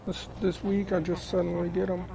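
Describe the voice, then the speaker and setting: A man on game voice chat sounds hoarse and sick, like he is losing his voice. He talks in a slow, flat, gravelly ramble, his pitch sitting high for a man. A crowd murmurs in the background. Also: dull audio, background noise. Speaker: gaming friend on voice chat. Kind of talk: casual chat.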